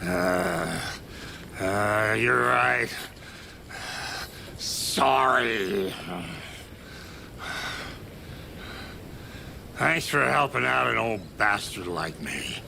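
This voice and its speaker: Crusty old rascal grandfather, game drama: very slow, heavy fry, cheeky and irreverent. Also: medium pitch, expressive, noisy background, full character voice. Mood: sheepish. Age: older. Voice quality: gravelly. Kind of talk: video game dramatic acting.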